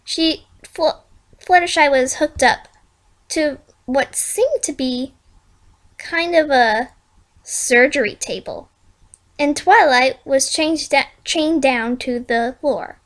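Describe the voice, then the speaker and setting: A woman's voice: high voice, slow. A female amateur reader narrating a fan-made story in storybook style.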